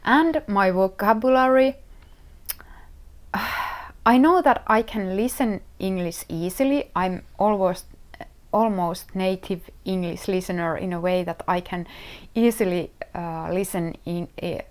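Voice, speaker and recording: A middle-aged woman from Finland with a Finnish accent, hosting her own knitting podcast and talking casually, often pausing with fillers and restarting her sentences as she searches for words. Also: mid-range voice. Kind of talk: casual solo podcast talk.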